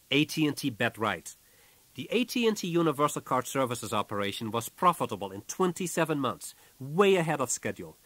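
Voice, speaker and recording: A man reading a business audiobook from a script in a measured, businesslike way, as its author. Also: medium pitch, a bit bright, no echo.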